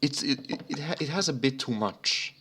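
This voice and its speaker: A man's voice: mid-range voice, expressive, normal speed, sharp tone, slight background noise. A young man from Serbia chatting casually as a podcast co-host, rambling and swearing freely. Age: young adult. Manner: rambling, profane. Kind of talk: casual motorsport podcast chat. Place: remote voice chat.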